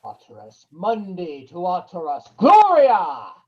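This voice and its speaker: An actor playing a monstrous undead devil doctor, chanting ceremonially in an old-time radio horror play. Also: male voice, high-pitched, wildly swooping pitch, takes it slowly, dull audio, heavily acted. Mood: menacing ritual. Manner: incantatory, ceremonial.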